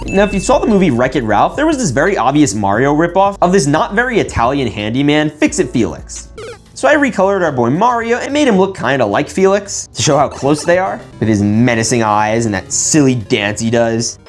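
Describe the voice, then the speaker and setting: A man's voice: on the high side, very animated, a little fast, faint music behind. A young man narrating a video in a casual, slangy, jokey and exclamatory way. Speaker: video host. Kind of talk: casual scripted vlog narration.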